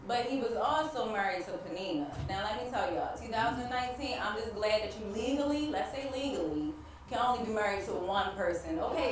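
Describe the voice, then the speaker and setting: An actress playing a devout wife who struggles with infertility, anguished yet hopeful, in an emphatic stage monologue with repeated phrases and dramatic pauses. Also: female voice, fairly high pitch. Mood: confiding, chatty. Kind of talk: theatrical stage monologue addressed to the audience. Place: stage play in a large echoey room. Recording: echoey hall from far off, background noise.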